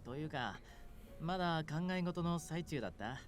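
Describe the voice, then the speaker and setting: A man's voice: fairly high pitch, lively intonation, fast, faint music behind, natural voice. A voice actor playing an easygoing, teasing demon king in a Japanese visual novel, with a breezy, casual manner. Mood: casual, friendly.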